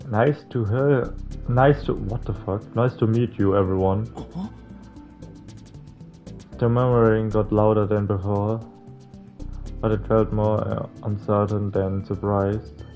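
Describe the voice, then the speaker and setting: A man with a fairly low, dark voice plays through a visual novel, reading it aloud and commenting informally. He stumbles over words and swears casually. The sound is slightly dull and lo-fi, with clear game music underneath. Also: steady tone, slow, pauses often.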